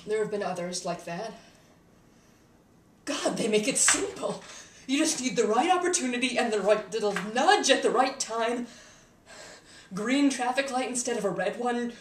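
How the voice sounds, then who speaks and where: A woman playing a haunted, embittered young wizard turned killer in a dramatic monologue, intense and halting, swinging between hush and outcry. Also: lowish voice, natural voice. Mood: bitter, agitated. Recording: echoey room, distant mic, faint noise.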